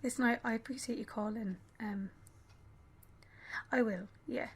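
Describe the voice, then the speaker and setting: A woman acting a grieving young mother in a radio play, numb and fragile, with a hushed, breathy, hesitant delivery. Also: on the high side, steady tone, exaggerated voice. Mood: numb, polite. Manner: hesitant, halting, subdued.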